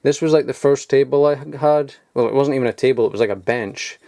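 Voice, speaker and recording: Young adult male, mid-pitched, loose offhand show-and-tell delivery, faint hiss behind. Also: a little fast. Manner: rambling, offhand, chuckles at himself. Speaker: toy collector vlogger. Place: home loft.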